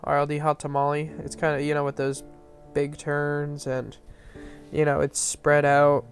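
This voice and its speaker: A man's voice: fairly high pitch, completely flat delivery, normal speed. Nasal, rambling man reviewing game maps. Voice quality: nasal, stuffy nose. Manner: rambling, filler-heavy, self-correcting. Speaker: map reviewer. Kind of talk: casual gameplay commentary. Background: faint background music.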